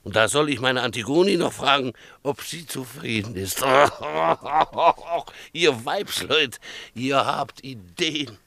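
An actor playing a proud, boastful rich Greek father in a German radio play, emphatic and scornful, with a husky voice. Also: mid-range voice, expressive, slow, pushed and bright, full character voice. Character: proud, self-made rich Greek father, possessive of his daughter.

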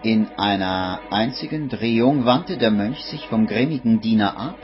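A man acting as grave narrator of a dark tale in a 1950s radio drama, dramatic and suspenseful. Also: lowish voice, moderate pace, natural voice. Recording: muffled vintage radio recording with music.

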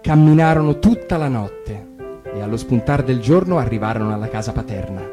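A man reads a fairy tale aloud in a calm, measured way, his voice sitting in a middle pitch. Clear guitar music plays underneath him in a radio studio. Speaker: reader.

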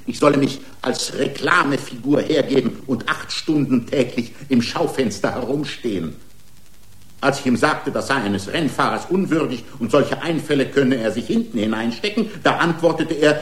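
A man's voice: on the high side, fairly bright tone. An actor playing an indignant, argumentative racing driver who is part man, part machine, pleading his own case in a German radio play. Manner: argumentative, rhetorical, aggrieved. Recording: roomy studio courtroom sound.

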